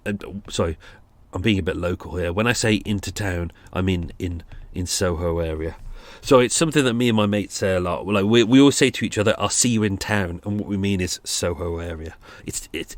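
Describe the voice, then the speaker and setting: A man's voice: lowish voice, dry recording. A man hosting a podcast, talking casually and rambling through restarts, nasal and sniffly from a cold. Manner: rambling, restarts and fillers, thinking aloud. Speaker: podcast host. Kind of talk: casual true-crime commentary.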